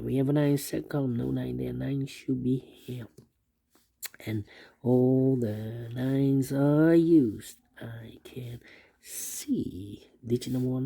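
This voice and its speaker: Gravelly-voiced man calmly talking through a puzzle. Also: medium pitch. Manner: hesitant, many uh fillers, repeats numbers aloud. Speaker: sudoku instructor. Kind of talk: solving a puzzle step by step while narrating.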